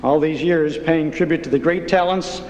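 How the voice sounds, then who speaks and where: A man's voice: a little high, normal speed, a little mellow. A man giving a humble, earnest acceptance speech on a ceremony stage. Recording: echoey hall, faint noise.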